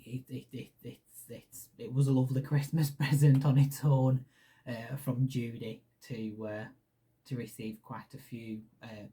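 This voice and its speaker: Rambling Hungarian-accented man, mellow husky voice. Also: medium pitch, rough recording. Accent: Hungarian with Lancashire English. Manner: rambling, many restarts and ums, laughs shyly. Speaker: podcast host. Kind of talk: casual solo podcast chat.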